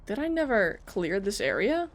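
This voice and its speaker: A woman's voice: mid-range voice, big pitch swings, moderate pace. A woman streamer giving casual, chatty commentary while playing a game. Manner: chatty, reactive. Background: faint game music.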